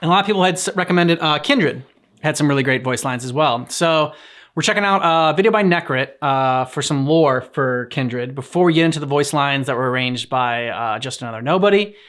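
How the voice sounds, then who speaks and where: A man speaks as a co-host in relaxed, informal chat. His delivery is notably quick, with a chatty manner suited to casual conversation. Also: fairly high pitch. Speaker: reaction channel co-host.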